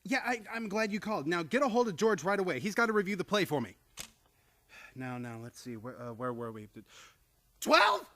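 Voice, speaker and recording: An excitable, flustered nephew played by a woman in a radio comedy. The voice is on the low side for a woman but leaps about wildly. The delivery is stammering, incredulous and exasperated. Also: a little fast. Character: flustered, horrified nephew, an excitable grown man. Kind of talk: stage comedy radio play acting.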